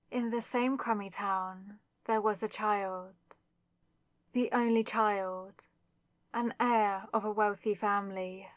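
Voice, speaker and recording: A woman's voice: mid-range voice, dry recording. A woman playing a haughty, villainous spirit in a possessed body, taunting and theatrical with mock sweetness, in a scripted ASMR roleplay. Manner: taunting, theatrical, mockingly sweet, savouring words.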